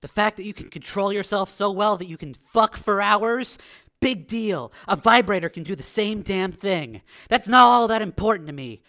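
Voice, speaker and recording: A man's voice: sits high, animated, moderate pace, bright, forceful tone, full character voice. A man voicing a tough, devoted young woman who speaks bluntly and earnestly in audiobook dialogue. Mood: frank, loving.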